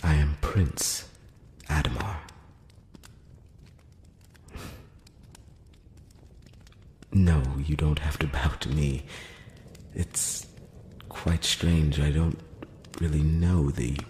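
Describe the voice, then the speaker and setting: A man playing a courteous, curious elf prince in an intimate ASMR fantasy roleplay, speaking softly and formally with some hesitation, close to the mic. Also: a bass voice. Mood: sheepish, confiding. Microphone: close-up microphone. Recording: roomy long reverb, background hiss.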